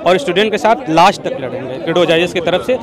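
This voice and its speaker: A male teacher with a high voice makes earnest, measured statements at an outdoor protest. A crowd is clearly heard around him. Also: animated. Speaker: coaching-institute teacher. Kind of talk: impromptu street interview.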